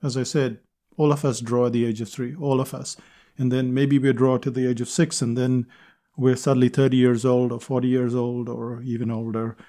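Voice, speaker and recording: Mellow-voiced man hosting a podcast. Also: medium pitch, level tone, moderate pace. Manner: earnest, conversational, repeats phrases for emphasis. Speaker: podcast host. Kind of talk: solo podcast monologue.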